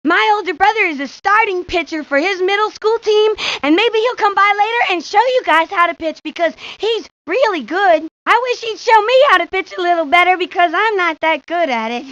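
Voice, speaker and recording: A woman's voice: extremely high pitch, expressive, a little fast, hardly any pauses, a bit bright, no room sound. Friendly little third-grade girl, earnest, unassuming, dry and slightly muffled game recording. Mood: earnest, modest. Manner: plain, earnest, childlike. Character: modest friendly third-grade girl who loves sports. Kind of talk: video game character lines. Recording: dull lo-fi old game audio.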